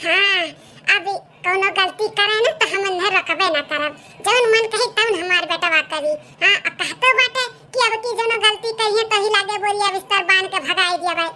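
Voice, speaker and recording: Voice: extremely high pitch, brisk. A performer voicing a scheming, whining elderly village mother-in-law in an Awadhi comedy cartoon, with a wheedling, complaining manner and an Awadhi accent. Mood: gloating, scheming. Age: older.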